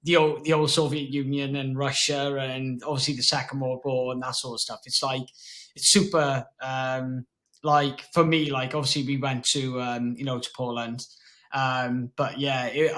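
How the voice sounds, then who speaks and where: Bright-voiced chatty male host. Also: mid-range voice. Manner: chatty, rambling, frequent fillers like obviously. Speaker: podcast host. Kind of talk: casual interview chat.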